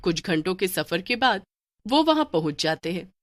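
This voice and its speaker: A woman's voice: on the low side, big pitch swings, normal speed, no echo. A woman reading scripted narration for a children's horror story as the storyteller.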